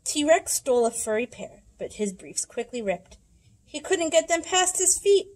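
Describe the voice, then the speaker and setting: A woman's voice: high-pitched, very animated, measured pace, poor audio. A woman in her thirties reading a rhyming picture book aloud expressively, with a sing-song delivery. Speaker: children's library storytime reader. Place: indoors in a library room.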